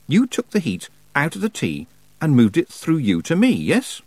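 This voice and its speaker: A man's voice: on the high side, huge pitch swings, moderate pace, darkish tone, husky, no echo, pitched above the performer's own voice, heavily acted. A man voicing an earnest, persistent young girl apprentice witch in an audiobook, polite but stubborn and questioning. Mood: curious, insistent. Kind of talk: audiobook character voice.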